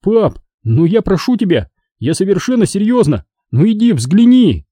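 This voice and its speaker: A man reading a storybook as an excitable, indignant boy who pleads urgently. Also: high voice, moderate pace, slightly rough, no echo, studio quality, voice pushed up, full character voice. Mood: pleading.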